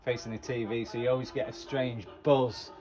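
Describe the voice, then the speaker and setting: A man's voice: mid-range voice, level tone, normal speed, music in the background. A man giving casual, unscripted commentary as a vlogger, rambling and muttering to himself. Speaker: amateur vlogger going through old tapes. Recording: very noisy amateur recording with clicks.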